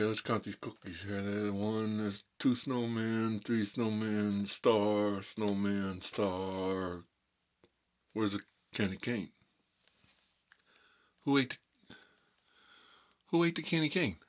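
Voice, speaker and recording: A man playing a gruff, sardonic mob boss who rambles and repeats himself through mock threats, in an ASMR-style comic monologue with a husky voice. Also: fairly low pitch, slow pace, long pauses. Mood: accusing, threatening.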